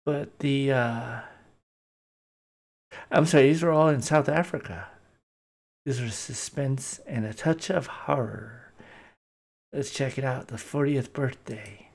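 A man's voice: mid-range voice, takes it slowly, vocal fry, pauses often, darkish tone. A man casually introducing old radio shows as host, offhand and unscripted.

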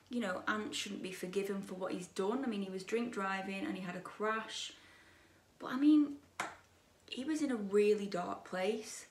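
A young woman in her twenties chatting while she does her makeup at a dressing table, gossipy and opinionated, her voice a bit croaky. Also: mid-range voice, reverberant, recorded from a distance, slight background noise. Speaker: beauty vlogger. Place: bedroom dressing table with lit mirror, indoors.

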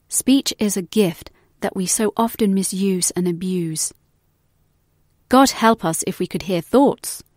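A woman with a mid-pitched voice reads a reflective passage from a book. Her delivery is measured and contemplative, and the sound is dry, with no room echo. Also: a little mellow. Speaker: guest reader of book excerpt. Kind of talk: reflective scripted reading.

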